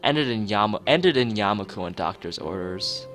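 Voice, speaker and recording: Low-voiced man streaming a game. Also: expressive, moderate pace, fairly bright tone, soft background music. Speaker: streamer playing a visual novel. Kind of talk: live stream commentary and reading game text aloud.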